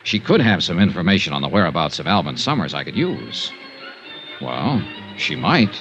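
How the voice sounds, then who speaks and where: An actor playing a wry, tough investigator, dry and clipped, narrating and acting in a 1950s radio drama. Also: male voice, sits low, very animated, moderate pace, music in the background. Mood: wry narration. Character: wry, tough hard-boiled male investigator. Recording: muffled, noisy old radio recording.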